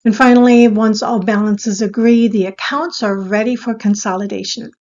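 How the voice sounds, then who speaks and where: A woman giving a scripted explainer as an instructor, in a measured, step-by-step teaching manner. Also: mid-range voice, steady tone, a little mellow, highs cut off. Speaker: accounting instructor.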